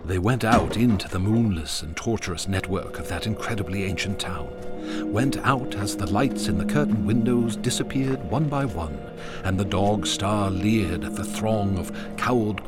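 A man narrating a radio drama in a slow, measured, ominous way. His voice sits somewhat low, and a clear music bed plays steadily behind him.

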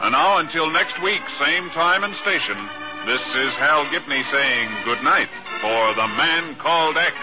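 Vintage radio announcer over loud music. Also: male voice, on the high side, expressive, a little slow. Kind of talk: 1950s radio announcing, scripted credits. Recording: muffled old radio broadcast, music behind. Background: orchestral music.

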